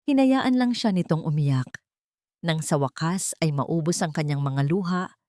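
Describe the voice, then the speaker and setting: A woman narrates a romantic drama in a low voice. The delivery is scripted storytelling with a dramatic bent. The recording is dry, with very little room sound. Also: darkish tone, dry recording. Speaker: audiobook-style story narrator.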